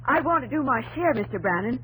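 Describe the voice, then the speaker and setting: A principled young American frontier schoolteacher in a 1950s radio drama. Her high, expressive voice is earnest and proper with a worried edge, on a slightly noisy old broadcast. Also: fast. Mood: composed, determined.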